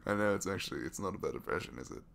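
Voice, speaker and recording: A man playing a captured supervillain in an intimate ASMR roleplay, a gentle, bookish dreamer who speaks softly and hesitantly, often sinking toward a whisper. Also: deep voice, lively intonation, rapid-fire, creaky, exaggerated voice. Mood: amused. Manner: hesitant, soft-spoken, rambling, often dropping to whispers.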